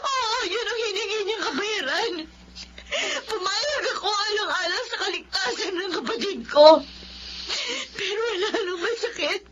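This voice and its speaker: A woman playing an anguished, vengeful young woman in a Filipino radio melodrama, crying through shrill, emphatic outbursts. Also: a little slow, dull audio, a crowd in the background. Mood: anguished, furious. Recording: noisy recording.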